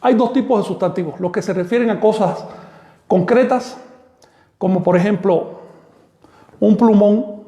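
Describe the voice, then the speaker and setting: A man giving an online language lesson, explaining terms slowly and deliberately. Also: high voice, warm tone. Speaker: language teacher. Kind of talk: online lecture. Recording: echoey room.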